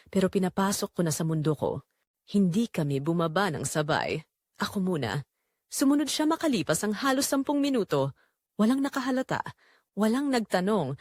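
A woman's voice: mid-range voice, no room sound, studio quality. A woman narrating a scripted first-person dramatic story.

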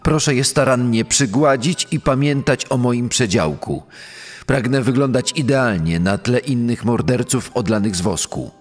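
An actor playing a smug, vain condemned murderer of about forty, speaking in a measured, self-assured, commanding way for a true-crime podcast dramatisation. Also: male voice, medium pitch, lively intonation, close to an everyday voice. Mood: vain, exacting. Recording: long reverb over music. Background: music.

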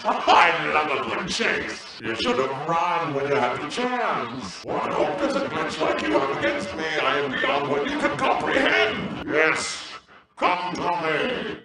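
A man's voice: mid-range voice, gravelly, heavy reverb, far from the mic, heavily acted, poor audio. A man playing a menacing, sadistic plague-bringing villain program in a video game, with a taunting, theatrical delivery and a processed voice.

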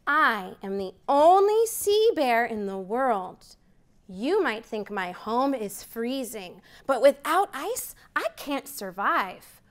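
Lively, high-voiced woman reading a storybook. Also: slow. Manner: animated storytime reading with rising counts. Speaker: children's librarian. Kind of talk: reading a picture book aloud to children. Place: library program room, indoors.

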